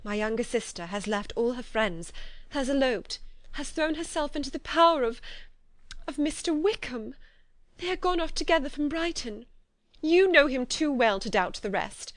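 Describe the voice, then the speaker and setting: A woman's voice: fairly high pitch, a little fast, dry recording, pitched above the performer's own voice, close to an everyday voice. A woman reading the part of a distraught young woman, her voice anguished and pleading as if on the edge of tears. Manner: anguished, pleading, breaking with emotion. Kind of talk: audiobook character voice.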